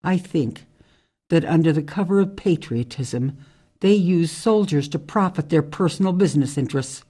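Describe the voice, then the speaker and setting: A woman playing a tough, outspoken ex-military forest ranger in a radio drama, speaking in a measured, emphatic way. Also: sits low, lively intonation, warm tone, husky. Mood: grave, critical.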